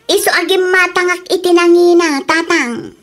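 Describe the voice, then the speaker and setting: A woman's voice: extremely high pitch, fairly even intonation, normal speed, a bit bright. A woman with an Ilocano accent playing a young wife who likes expensive things, talking in a defensive, insistent way. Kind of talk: radio drama acting.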